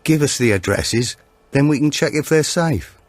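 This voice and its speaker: Man acting a pushy, high-pitched menacing burglar. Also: lively intonation, moderate pace, dry recording, natural voice. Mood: intense. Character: menacing, pushy male burglar, self-declared Satanist big brother.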